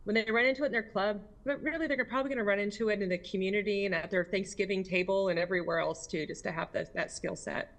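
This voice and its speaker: Interviewee, female, American, medium pitch. Candid, emphatic club-leader talk, conversational, full of rhetorical questions. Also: quick pace, hardly any pauses. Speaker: interviewee, district governor of a service club.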